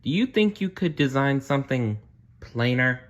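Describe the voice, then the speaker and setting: A man voicing a sweet, modest young princess-to-be from a storybook, gentle and earnest. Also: medium pitch, animated, normal speed, voice pushed up, lightly acted. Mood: polite, hesitant.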